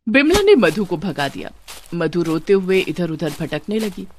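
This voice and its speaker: Lively woman narrating a cartoon story. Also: on the low side, unhurried, a little hiss or hum.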